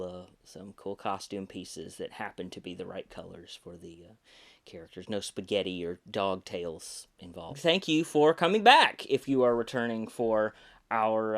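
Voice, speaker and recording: Male podcast host, relaxed and rambling, lots of fillers, smooth clear tone, a bit stuffy. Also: mid-range voice. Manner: easygoing, rambling, lots of filler words and restarts. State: slightly congested from sinus trouble. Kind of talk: casual podcast chat.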